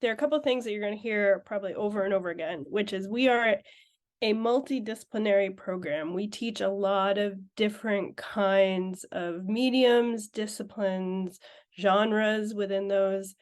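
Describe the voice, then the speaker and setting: Mid-pitched female director; earnest, self-correcting presenter on a video call. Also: normal speed. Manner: earnest, thinks aloud with restarts. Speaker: program director and teacher. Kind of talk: informal presentation.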